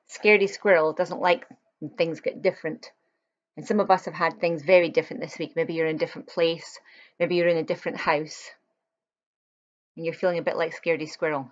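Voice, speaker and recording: A woman's voice: fairly low pitch, dull audio. A woman reading a children's picture book aloud, expressively, with chatty explanatory asides to young listeners.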